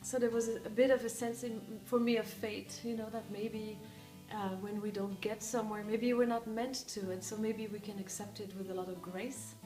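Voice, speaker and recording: A woman's voice: mid-range voice, little pitch movement, moderate pace, mellow. A woman from France, a life coach, speaking reflectively with frequent hesitations. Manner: reflective, hesitant with many ums. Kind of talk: interview talk. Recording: echoey room with music behind.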